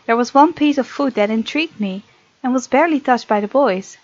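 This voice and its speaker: A woman hosting a gaming video, reading visual novel text aloud and chatting casually in an expressive way. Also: a little high, brisk, dry recording, highs cut off.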